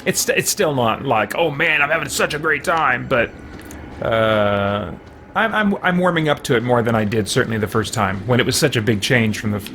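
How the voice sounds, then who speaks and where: A man's voice: mid-range voice, animated, moderate pace, a bit bright. A man livestreaming a game, explaining things in casual, rambling commentary. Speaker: livestream gamer. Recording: game music faintly behind.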